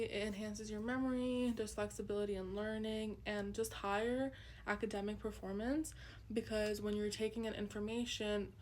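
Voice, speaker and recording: A woman's voice: medium pitch, darkish tone, a little hiss or hum. A young woman whose first language is Urdu, earnestly presenting a class project with frequent ums. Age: young adult. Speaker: student presenter.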